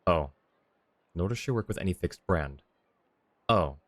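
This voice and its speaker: A man's voice: low voice, lively intonation, unhurried, dry recording. A man chatting casually on a game livestream, thinking aloud in a rambling, easygoing way. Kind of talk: casual livestream chat while playing a game. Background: background game music.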